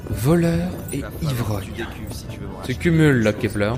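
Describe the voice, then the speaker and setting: An actor playing a wry, wary adventurer in an audio drama, dry and sarcastic. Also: male voice, mid-range voice, lively intonation, takes it slowly, over music. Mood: scornful, wary. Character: wry, wary male adventurer, sardonic and unimpressed.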